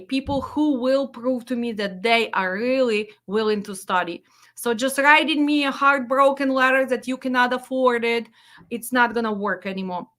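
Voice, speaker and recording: A Ukrainian-accented woman lays down the rules for her students in a forceful, bright voice, pitched a little above the usual. She is blunt and emphatic, repeating her points, and her English grammar slips now and then. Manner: slightly broken English grammar. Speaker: freight dispatch trainer. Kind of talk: direct-to-camera instructional talk.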